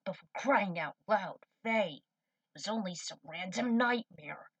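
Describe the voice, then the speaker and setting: A woman's voice: medium pitch, expressive, unhurried, no echo, recorded from a distance, lo-fi sound. A woman voicing a skeptical, stern fatherly man in a fan fiction story reading. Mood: dismissive.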